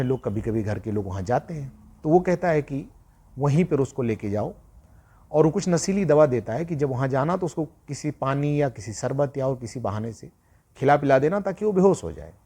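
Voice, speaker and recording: Male true-crime storyteller, mid-range and a little husky, conversational, moralizing, leaning on repetition. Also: mid-range voice, sing-song, normal speed, mellow. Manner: repeats phrases for emphasis, addresses listeners directly.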